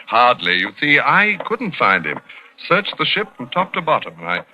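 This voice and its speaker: Animated, ardent radio-drama detective. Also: male voice, medium pitch, normal speed, lo-fi sound, heavily acted. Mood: wry, explanatory. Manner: theatrical, excitable, stammering when flustered. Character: ardent, self-assured male Scotland Yard detective in love. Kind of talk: 1940s radio suspense acting. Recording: vintage radio drama recording.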